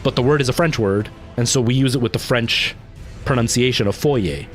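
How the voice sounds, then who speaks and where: A man with a light Canadian accent talks casually to his livestream viewers, drifting from one tangent to the next. His voice sits in an ordinary middle register. Faint music plays quietly underneath. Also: mid-range voice, brisk. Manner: rambling, goes off on tangents; responds to viewer comments.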